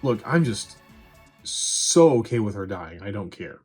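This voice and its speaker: A man's voice: lowish voice, big pitch swings, unhurried. A man doing a casual, opinionated review voice-over in a blunt, sweary way with wry asides. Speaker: video essay host reviewing movies. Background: background music.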